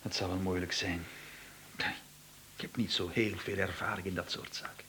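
An actor playing a nervous, easily rattled middle-aged husband in a radio play, with a Flemish accent and halting, repetitive speech. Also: male voice, deep voice, flat delivery, vocal fry, fairly bright tone, a little hiss or hum. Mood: uneasy. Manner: halting, repeats words.

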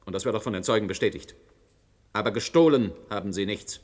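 An actor playing a stern, coldly logical presiding judge in a German radio play, pedantic, clipped and commanding in manner. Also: male voice, lowish voice, fast, natural voice. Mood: stern, authoritative.

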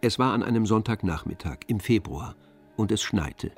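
A man narrating a literary audio drama in measured, polished storytelling phrases. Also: on the low side, expressive, soft background music.

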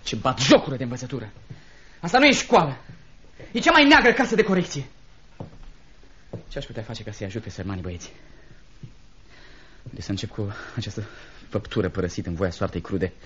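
An actor playing a compassionate young assistant teacher in a radio drama, speaking earnestly and gently with theatrical diction. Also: male voice, medium pitch, big pitch swings, long pauses, a crowd in the background, close to an everyday voice. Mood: indignant. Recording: dull, noisy old radio recording.

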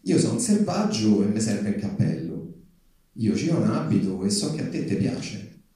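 A man's voice: on the low side, sing-song, normal speed, mellow. A man voicing a naive, good-natured native in comic verse, plain-spoken, with a Roman Italian accent. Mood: eager, friendly. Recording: echoey hall, recorded from far off.

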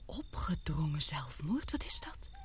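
An actress playing a gentle, concerned young woman in a Dutch radio play, often breathy and hushed as she questions. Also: deep voice, very animated, a little slow, in a whisper, full character voice. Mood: hushed, shocked.

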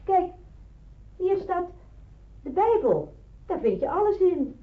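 A woman's voice: extremely high pitch, slow pace, exaggerated voice. A woman acting a sceptical, incredulous wartime woman in hiding in a Dutch radio drama. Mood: incredulous, teasing. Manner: incredulous, hesitant, expressive. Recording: muffled, band-limited old radio recording.

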